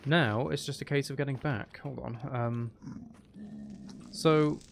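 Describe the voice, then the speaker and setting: A man's voice: medium pitch, steady tone, slow, vocal fry, slight background noise. A man giving casual gameplay commentary, muttering and thinking aloud to himself. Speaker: gaming video host.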